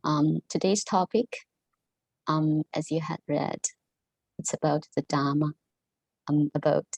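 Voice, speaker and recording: A woman whose first language is Mandarin gives a halting talk to an online Buddhist study group, full of pauses and fillers. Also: low voice, steady tone, gravelly, darkish tone, dry recording, poor audio. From Taiwan. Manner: halting, many ums and restarts. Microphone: video call.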